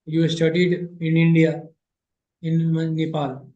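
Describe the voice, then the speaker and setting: A man teaching a Sanskrit class over a video call, his voice a little high but mellow and soft-edged. He repeats phrases patiently and slowly. The sound is roomy and muffled. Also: completely flat delivery. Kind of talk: online grammar class.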